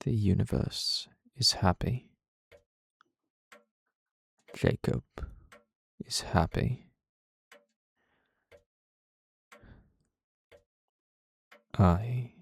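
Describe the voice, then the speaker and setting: Brooding alien time traveller, played by a man, deep and gravelly, torn by an inner voice, portentous audio-drama monologue. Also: exaggerated voice. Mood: strained insistence. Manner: slow, halting, deliberate, portentous.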